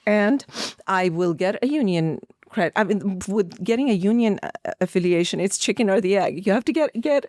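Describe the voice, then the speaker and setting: A middle-aged woman from India with a mid-pitched voice, chatting as a podcast guest. She tells anecdotes conversationally, slipping into quoted asides. The recording is close and dry. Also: animated, moderate pace, a little mellow, dry recording. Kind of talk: conversational interview storytelling.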